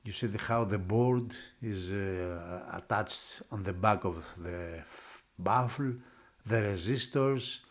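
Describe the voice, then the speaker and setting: A man who is not a native English speaker, narrating a product unboxing off the cuff in halting phrases. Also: fairly low pitch. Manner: halting, piecing phrases together. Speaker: product reviewer.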